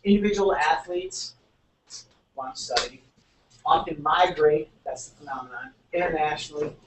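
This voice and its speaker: A man's voice: fairly high pitch, normal speed. Man lecturing in an echoey classroom. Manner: explains step by step, repeats keywords. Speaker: sociology instructor. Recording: echoey room, mic far off, faint noise.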